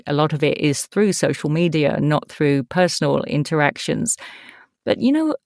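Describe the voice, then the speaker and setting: A middle-aged woman with an English accent talks casually as an interview guest. Her voice sits slightly low, and she sounds upbeat and reflective. The recording is close and dry, with no room sound. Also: darkish tone, no room sound. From East Anglia, England. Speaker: podcast guest, voice actor.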